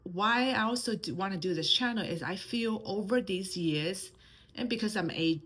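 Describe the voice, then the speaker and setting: A woman's voice: mid-range voice, moderate pace, fairly bright tone, husky. A woman in her thirties from China with a Mandarin accent, talking hesitantly to camera in a personal vlog, with many fillers and restarts. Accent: Mandarin Chinese. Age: 30s. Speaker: channel host.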